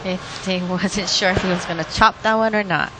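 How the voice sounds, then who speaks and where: A woman's voice: fairly low pitch, fairly even intonation, moderate pace. A woman giving casual, giggly, informal bowling commentary as a co-host. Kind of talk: casual live sports commentary. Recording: loud bowling alley background noise.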